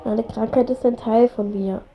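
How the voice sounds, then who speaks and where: A woman's voice: fairly high pitch, steady tone, normal speed, lo-fi sound, a little hiss or hum, lightly acted. A woman playing a stubborn, wounded ten-year-old boy in a radio drama, with a blunt, earnest delivery. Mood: hurt, defiant.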